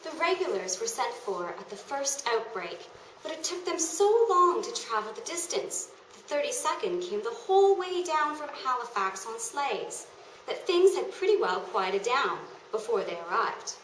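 A young woman voicing a 19th-century townswoman's recollections in an expressive, theatrical dramatic reading. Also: high-pitched, moderate pace. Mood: wistful, animated. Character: 19th-century townswoman fondly recalling her youth. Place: indoor meeting room. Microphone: camera microphone at a distance. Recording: echoey room from far off, faint noise.

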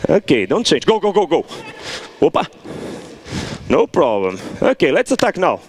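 Man, soccer coach, high voice, lively pitch, indoor hall. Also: normal speed, a little hiss or hum. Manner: animated instructions with frequent "okay?" check-ins. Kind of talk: on-field coaching demonstration. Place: large indoor convention hall.

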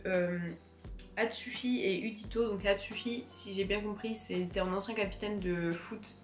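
Stuffy-nosed young woman chatting casually. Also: mid-range voice. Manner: chatty, many fillers and restarts. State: congested, stuffy nose from a cold. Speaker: anime vlogger. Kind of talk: casual chat to camera. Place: room with bookshelves. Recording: roomy echo, faint music behind.

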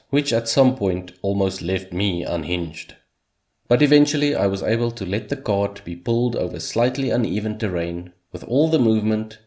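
Male voice-over host, native Afrikaans speaker, slightly low register, steady scripted delivery with dry humor. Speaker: hobby game developer, channel host.

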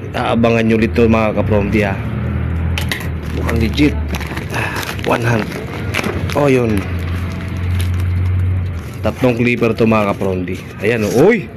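Low-voiced Filipino man, noisy recording. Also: fairly even intonation, takes it slowly, pauses often. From Philippines. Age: middle-aged. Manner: repeats short phrases. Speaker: barber vlogger. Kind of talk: casual unboxing vlog chat.

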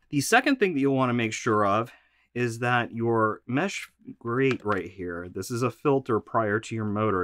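A man's voice: fairly low pitch, a bit bright, no room sound, clean studio sound. A man, a little congested from allergies, hosting a practical vacuum maintenance tutorial from a shop workroom. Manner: practical, instructive, conversational.

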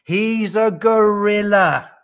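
A man voicing a knowing storybook gnu in a slow, emphatic manner. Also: high-pitched, fairly bright tone, voice pushed up, full character voice. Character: know-it-all gnu at a zoo, male storybook animal.

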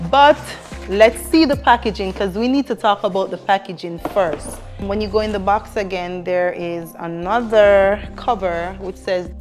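A young woman vlogging from her home kitchen on a lapel mic, chatty and upbeat as she unboxes cookware and talks straight to her viewers. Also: mid-range voice. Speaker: lifestyle vlogger. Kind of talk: casual product unboxing. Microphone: clip-on lapel mic.

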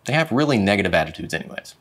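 A man reading a children's picture book aloud with dramatic, expressive phrasing. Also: on the low side, rapid-fire. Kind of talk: expressive storybook read-aloud. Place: indoor studio with plain dark backdrop.

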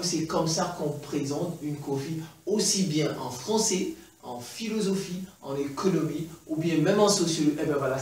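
A middle-aged man with a Central African French accent giving an animated chalkboard lesson, repeating points with many fillers. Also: fairly high pitch, distant mic, a little hiss or hum. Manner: animated, gesturing, repeats points with frequent "eh ben, voilà". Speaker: teacher. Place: classroom in front of a chalkboard. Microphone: far camera. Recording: very echoey room, voice from afar.

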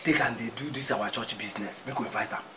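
A man's voice: medium pitch, quick pace, very noisy, close to an everyday voice. A man with a Nigerian accent playing a scheming, commanding church leader in a film. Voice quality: commanding, threatening. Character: scheming middle-aged male church leader. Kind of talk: Nigerian Pidgin film acting. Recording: echoey room with music.

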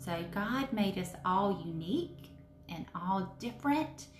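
A woman hosts a devotional story time for children in simple, question-filled phrases. Her delivery is unhurried and very animated in pitch. The room adds noticeable echo around her voice. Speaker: children's story time host, Sunday school teacher. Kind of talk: children's devotional talk addressed to young listeners. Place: indoors in a home room with brick wall and wood cabinets. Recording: roomy echo, faint background noise.

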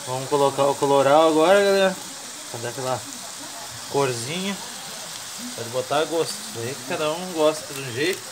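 A man with a southern Brazilian accent presents a home recipe in a casual, joking way. He explains things in colloquial speech. Frying and stirring sizzle clearly behind him in the kitchen. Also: mid-range voice, normal speed, pauses often, noisy background. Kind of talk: casual cooking chat.

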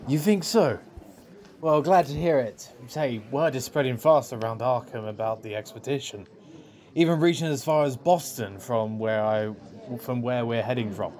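Audio drama: actor as friendly male engineering professor, medium pitch, thorough, instructive, chatty. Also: moderate pace, a little mellow, lightly acted. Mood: friendly, pleased. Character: Frank, affable engineering professor, thorough explainer.

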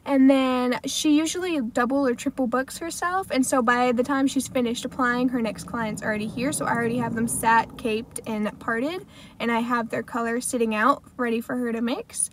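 A woman's voice: on the high side, a little hiss or hum. A woman in her twenties with an American accent talks casually to camera in a car, in a chatty way peppered with "like". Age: 20s. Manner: chatty, frequent "like" as filler. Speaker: salon assistant vlogger. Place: inside a parked car.

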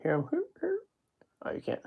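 A man's voice: normal speed, in a whisper, narrow, tinny audio. Man, card break host, joking chatter, camera mic at a table indoors. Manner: joking, rattles off player names. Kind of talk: casual card-opening chat.

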